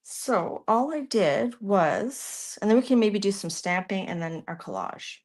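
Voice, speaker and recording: A woman's voice: mid-range voice, lively intonation, unhurried, darkish tone. Chatty Canadian woman demonstrating art live. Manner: chatty, thinks aloud while working, trails off mid-sentence. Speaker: art tutorial host. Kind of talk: live art demonstration, answering viewer chat.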